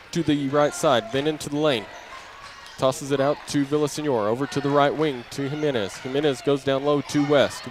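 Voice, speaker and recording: A man calling live basketball play-by-play for an audio broadcast in short, clipped phrases, raising his voice with the action. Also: a little high. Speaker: play-by-play announcer. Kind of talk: live basketball radio commentary. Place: indoor basketball gym during game. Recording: noisy gym ambience, bouncing balls.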